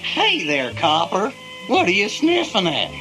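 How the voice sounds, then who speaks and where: An actor voicing a cranky old male hunting dog with an American accent in an animated film. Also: high-pitched, unhurried, exaggerated voice, poor audio. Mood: curious. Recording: loud music behind the voice.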